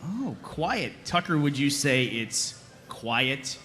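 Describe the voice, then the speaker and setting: A man's voice: mid-range voice, very animated, slow pace, bright, forceful tone, a little hiss or hum, heavily acted. A man playing a sarcastic, shirking soldier in a comedic table read, with a dry, hesitant, conversational delivery. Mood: dry, teasing.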